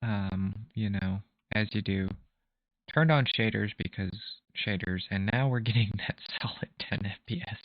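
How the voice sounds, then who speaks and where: A man's voice: fairly low pitch, moderate pace, no room sound. A young man from Minnesota streaming a game, joking dryly while he plays. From USA, Minnesota. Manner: dry, joking, talks while playing. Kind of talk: casual gaming stream chat. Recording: muffled lo-fi mic.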